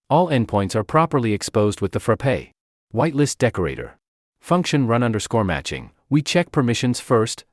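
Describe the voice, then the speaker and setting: Man, scripted software tutorial, demo presenter, animated intonation, clipped step-by-step phrasing, dry close sound. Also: mid-range voice, no echo.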